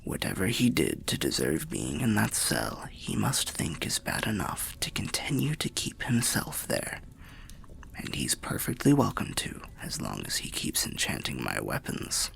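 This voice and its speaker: A weary undead soul acted out by a man tells fantasy tales in a low, heavily creaking voice that often slips into a whisper. He is wry and cautious, confiding in old-fashioned phrases like a humble guide in an ASMR roleplay. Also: wildly swooping pitch, a little slow, fairly bright tone, full character voice. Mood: confiding, wary. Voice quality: breathy, gravelly half-whisper.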